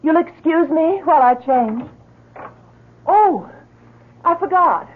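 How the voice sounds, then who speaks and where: Gushing young society wife: high, very animated, theatrical, thin vintage radio sound. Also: female voice, slow. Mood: elated. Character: vivacious, adoring young wife and socialite. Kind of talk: old-time radio drama acting. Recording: thin lo-fi old radio broadcast.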